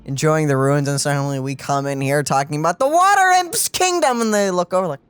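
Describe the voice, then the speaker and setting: A woman's voice: deep voice, expressive, fairly quick, clean tone, faint music behind. A young woman co-hosting casual let's play commentary in a playful, reactive way. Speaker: gaming video co-host.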